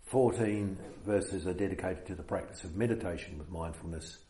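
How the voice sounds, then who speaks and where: A man with a New Zealand accent leading a meditation retreat, giving an unhurried dharma talk with many fillers. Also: low-pitched, warm tone, reverberant, a little hiss or hum.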